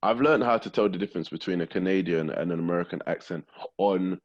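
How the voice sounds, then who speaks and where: A man with a British accent hosting a podcast, chatting casually with a relaxed, agreeable manner and quick affirmations. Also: sits low, highs cut off. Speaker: podcast host. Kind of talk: casual interview chat.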